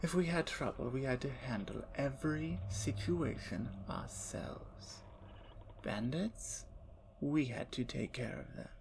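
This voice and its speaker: Playful teasing vampire lady, woman voicing her, slow and creaky, whispers a lot, asmr roleplay. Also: a bass voice, expressive, slight background noise, exaggerated voice. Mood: bitter. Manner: teasing, slips into soft whispers. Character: playful talkative female vampire, once a poor human woman.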